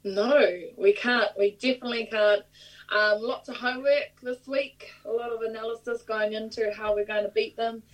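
A woman's voice: on the high side, steady tone, moderate pace, recorded from a distance, poor audio. A young woman with a New Zealand accent, a rugby player chatting in an upbeat, easygoing way in an interview. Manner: upbeat and laughing easily. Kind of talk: remote interview.

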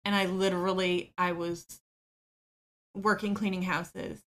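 A woman's voice: fairly low pitch, flat delivery, a little slow, fairly bright tone. A woman, a podcast guest who talks openly about her own life, often with small laughs and ums. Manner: open and self-deprecating, laughs easily, frequent ums. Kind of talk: candid personal storytelling.

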